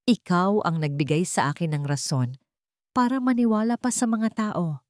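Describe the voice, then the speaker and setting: A woman with a Filipino accent voicing a kind, dignified male company chairman in a dramatic story, earnest and measured. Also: fairly low pitch, animated, no echo, studio quality, close to an everyday voice. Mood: earnest, grateful.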